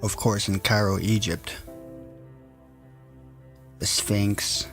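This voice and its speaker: A man's voice: lowish voice, steady tone, takes it slowly, a bit bright, faint music behind. A man of Samoan descent hosting a stream, chatting casually to his viewers with lots of fillers. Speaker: stream host.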